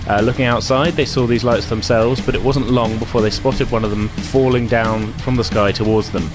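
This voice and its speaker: Upbeat game master over music. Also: male voice, medium pitch, fairly even intonation, normal speed. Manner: upbeat, many "uh" fillers. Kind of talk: podcast intro and recap. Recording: music bed under voice.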